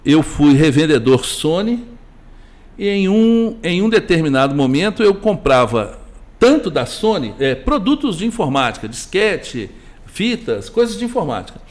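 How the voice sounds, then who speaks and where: A middle-aged Brazilian man with a rather high voice that rises and falls a lot. He talks casually as a guest, wandering through anecdotes and restarting his sentences. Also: moderate pace. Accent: Brazilian Portuguese. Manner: animated, rambling anecdotes with many restarts. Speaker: guest, business owner. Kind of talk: casual conversation, storytelling.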